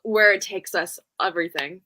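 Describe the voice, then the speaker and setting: A woman with a husky voice chatting casually and emotively as a teasing co-host on a book discussion live stream. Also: fairly low pitch, normal speed, sharp tone. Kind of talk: casual chat.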